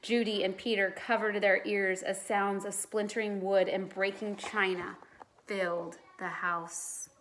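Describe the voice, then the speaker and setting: A woman's voice: medium pitch, little pitch movement, a little slow, fairly bright tone, slight background noise. A woman reading a children's storybook aloud like a teacher, expressively and with dramatic emphasis.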